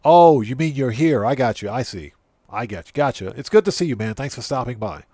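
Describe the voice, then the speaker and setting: A man's voice: medium pitch, animated, a little fast, mellow, dry recording, studio quality. A man hosting a casual gaming livestream, thinking aloud, repeating phrases and chatting with viewers. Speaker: livestream host. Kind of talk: casual gaming livestream commentary, greeting chat. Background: music.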